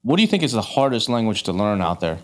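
A man's voice: fairly low pitch, sing-song, fast. Man hosting, long rambling questions. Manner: asks long rambling questions, repeats himself. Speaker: podcast host. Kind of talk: interview questions, casual chat.

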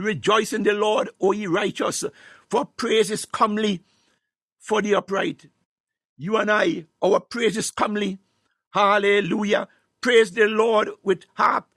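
A man's voice: sits high, vocal fry, fairly bright tone, breathy edge, no echo, clean studio sound. A man preaching a fervent charismatic sermon, exclamatory and repetitive, reciting scripture and breaking into praise.

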